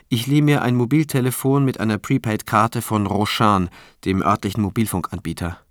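A man playing a dogged prosecutor in a radio drama, measured when narrating and insistent when questioning. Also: lowish voice, dry recording, clean studio sound, close to an everyday voice. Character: dogged male prosecutor narrating his own investigation.

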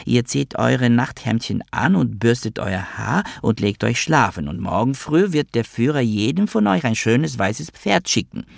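A man voicing a doting yet sinister mother with a high feminine voice, coaxing in a sugary way for an audiobook. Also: big pitch swings, a little slow, gravelly, hardly any pauses, no room sound, studio quality, heavily acted. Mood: sweetly coaxing. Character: doting, sinister mother soothing her children. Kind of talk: audiobook character voice.

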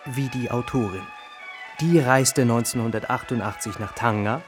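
Male actor as suave, needling killer, radio drama: terse, probing, mid-pitched, music underneath. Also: moderate pace, natural voice. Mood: self-questioning. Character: suave fictional killer needling his author. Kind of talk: literary radio play dialogue.

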